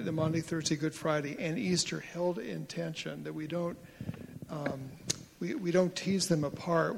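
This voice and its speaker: A man's voice: fairly high pitch, vocal fry, in a large hall, a little hiss or hum, rough recording. A man leading a church group discussion, speaking in a reflective, encouraging way.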